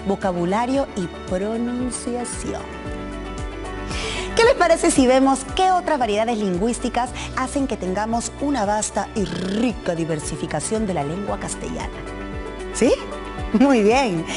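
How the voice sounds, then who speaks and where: A woman with a Peruvian Spanish accent teaches a school lesson on television. Her pitch rises and falls a lot, and she keeps turning questions to the viewers. Music plays clearly behind her. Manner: animated, asks the viewers questions.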